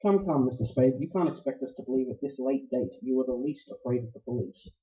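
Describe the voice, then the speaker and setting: An actor in a noir radio play, playing a suave, courtly older criminal mastermind who speaks with florid, deliberate politeness. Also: male voice, mid-range voice, expressive, lightly acted. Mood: smooth menace. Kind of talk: staged radio play acting, 1940s noir. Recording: roomy, dull live stage recording.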